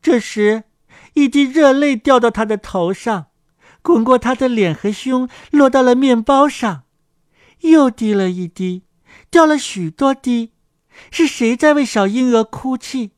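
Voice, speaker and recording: A woman's voice: on the high side, measured pace, no echo, studio quality. A woman giving a scripted narration of a fairy tale, as a storyteller.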